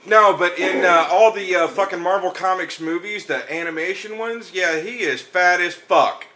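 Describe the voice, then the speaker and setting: Male guest, loud and boisterous, high forceful voice. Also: normal speed. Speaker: podcast guest. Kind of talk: profane casual banter.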